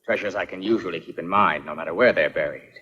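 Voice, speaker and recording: An actor dubbing a boastful, slow-witted henchman in a period film, with a rough, forceful voice. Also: low voice, dull audio, full character voice. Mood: puzzled. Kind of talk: dubbed period film acting.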